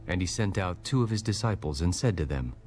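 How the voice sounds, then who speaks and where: A man narrating a dramatized audio Bible as a solemn storyteller, formal and measured. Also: low voice, expressive, darkish tone, a little hiss or hum. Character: solemn male scripture narrator. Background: clear background music.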